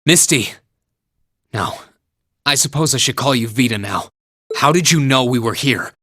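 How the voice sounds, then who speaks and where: A man's voice: mid-range voice, lively intonation, normal speed, pushed and bright, no echo, studio quality. An actor playing an earnest, serious young male student hero in a fantasy video game. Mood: wary, questioning. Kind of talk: English video game voice acting.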